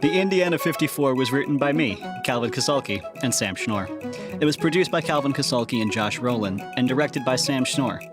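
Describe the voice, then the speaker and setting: A man reads scripted podcast credits. His voice has a middle pitch, and plucked guitar plays clearly behind him. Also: lively intonation, fairly quick. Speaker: podcast writer reading credits. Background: plucked guitar music.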